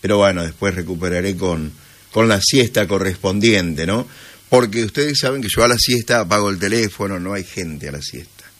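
A man's voice: low voice, normal speed, a bit bright. A sleepy man with an Argentine accent hosting a morning radio show, chatting casually and unhurriedly with listeners.

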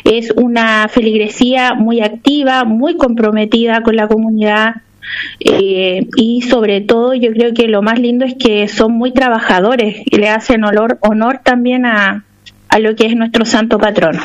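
Chilean nun with a high voice. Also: female voice, steady tone, a little slow, poor audio. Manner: hesitant, many fillers, grateful. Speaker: missionary nun. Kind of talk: radio interview answers.

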